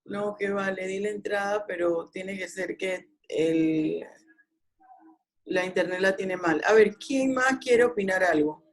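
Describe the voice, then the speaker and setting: Female law lecturer, very unhurried delivery, didactic, leading an online class. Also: mid-range voice. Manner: didactic, questioning students. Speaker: law professor. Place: video call.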